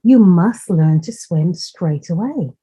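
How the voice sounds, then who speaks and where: A motherly duck from a children's storybook, acted out by a woman, proud of her brood and instructing them. The voice is very slow and deliberate, mellow and a little husky. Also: fairly low pitch, animated, full character voice. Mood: reassuring, instructing.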